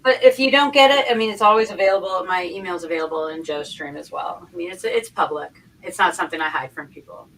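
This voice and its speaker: A woman's voice: on the low side, fast, hardly any pauses, fairly bright tone. A woman chatting casually as a guest on an online livestream panel, heard from a slightly distant mic. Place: online group video call. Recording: distant mic with faint background noise.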